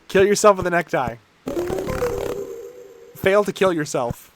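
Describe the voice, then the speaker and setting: A man's voice: high voice, animated, measured pace, very noisy. A man streaming a video game, reading its text aloud and reacting live in an irreverent, sweary, amused way.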